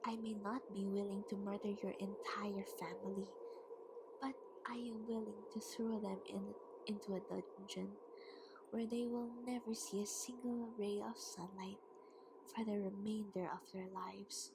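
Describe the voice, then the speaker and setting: A woman's voice: mid-range voice, steady tone, normal speed, vocal fry, very noisy, lightly acted. A woman playing a haughty, teasing vampire queen in an ASMR roleplay, often half-whispering in a taunting, mock-polite way. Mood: commanding, menacing. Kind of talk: ASMR roleplay, scripted monologue.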